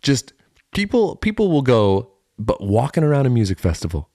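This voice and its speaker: Guy doing funny podcast banter, super animated, repeats stuff for emphasis, dry close sound. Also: medium pitch, normal speed, dry recording. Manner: animated, jokey storytelling with emphatic repetition. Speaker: podcast co-host. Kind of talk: casual comedic banter.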